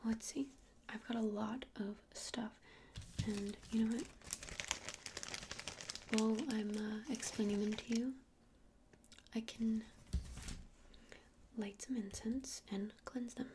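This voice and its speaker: A woman whispering softly and breathily in an ASMR roleplay as an easygoing witch shopkeeper, casual and a little hesitant. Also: on the high side, fairly even intonation, slow, long pauses, a little hiss or hum. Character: friendly, easygoing witch running a crystal shop.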